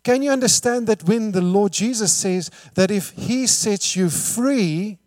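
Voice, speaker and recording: Guy preaching, kinda high voice, dry sound, lots of rhetorical questions, gets quiet then loud. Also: measured pace, dry recording. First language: Afrikaans. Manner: emphatic, rhetorical questions, swings from hushed to loud. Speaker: pastor. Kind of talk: sermon.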